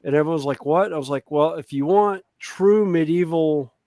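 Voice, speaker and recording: A man from the Deep South hosting a casual group chat and telling laid-back anecdotes. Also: moderate pace, dark tone, no echo. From American Deep South.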